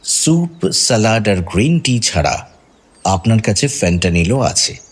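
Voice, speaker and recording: An actor playing a sly detective in an audio drama, questioning suspects in a pointed, theatrically insinuating way. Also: male voice, on the low side, very animated, unhurried. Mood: probing. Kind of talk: Bengali audio drama acting.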